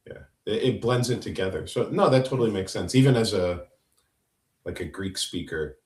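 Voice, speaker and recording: Deep-voiced host in an echoey room. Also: male voice, expressive, moderate pace, recorded from a distance. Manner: enthusiastic, affirming, "right?" tag questions. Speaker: podcast host. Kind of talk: casual interview chat.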